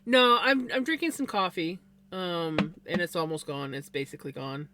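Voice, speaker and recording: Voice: mid-range voice, normal speed, bright, forceful tone, slight background noise. A livestreamer chatting casually, rambling with lots of fillers and restarts. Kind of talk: casual chat on a live stream.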